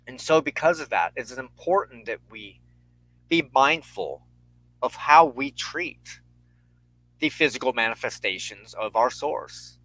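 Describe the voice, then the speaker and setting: A man hosting a spiritual show, speaking reflectively and earnestly in measured, halting short phrases with deliberate pauses. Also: mid-range voice, lively intonation, bright, forceful tone, rough recording. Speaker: host and minister of a spiritual show. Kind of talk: reflective spiritual talk to an online audience.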